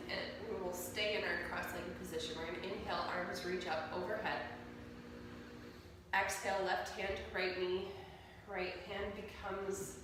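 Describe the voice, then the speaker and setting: A woman with a cold and a slightly nasal voice, guiding a yoga class with calm, slow cues for poses and breathing. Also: medium pitch. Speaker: yoga instructor. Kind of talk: guided yoga class instruction. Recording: echoey room, mic at a distance, audible noise.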